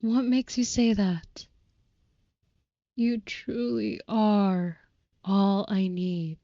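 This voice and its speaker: A woman voicing a devoted, youthful but centuries-old vampire lover in an intimate audio roleplay, speaking softly with breathy, tender, archaic formality. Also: medium pitch, fairly even intonation, drawn-out words, no room sound, dull audio. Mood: devoted, earnest.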